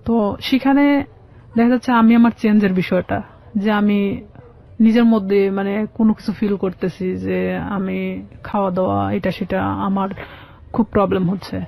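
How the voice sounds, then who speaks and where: A Bangladeshi woman giving personal testimony as an interview guest, in a halting, plain-spoken way. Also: medium pitch, fairly even intonation, vocal fry. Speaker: guest telling her life story. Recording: dull, muffled lo-fi sound.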